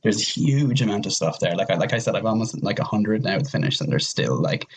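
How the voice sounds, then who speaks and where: A man with a deep, husky voice talks casually. His delivery rambles, circling back and repeating words as he builds a point in relaxed conversation. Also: fairly quick. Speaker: podcast guest. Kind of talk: casual chat.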